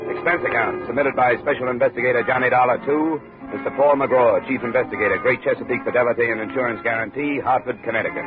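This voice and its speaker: An actor playing a wry, clipped insurance investigator, narrating and acting in a 1950s radio detective drama. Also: male voice, mid-range voice, fairly even intonation, fast talker, few pauses, loud music behind the voice, natural voice. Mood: dry, businesslike. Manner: clipped, dry. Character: hard-boiled, wry insurance investigator narrating his case. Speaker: lead and narrator of detective series. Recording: thin, tinny 1950s radio recording.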